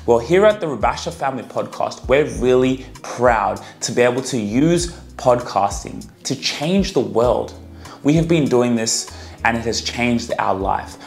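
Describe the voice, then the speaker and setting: A man delivers a promotional pitch as a podcast host, addressing listeners directly. His voice is mid-pitched. Faint music plays underneath.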